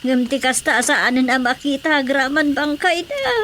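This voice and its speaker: A woman's voice: sits high, normal speed, heavy background noise, natural voice. A woman with an Ilocano accent playing a worried, long-suffering mother in a radio drama. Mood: worried, resolute.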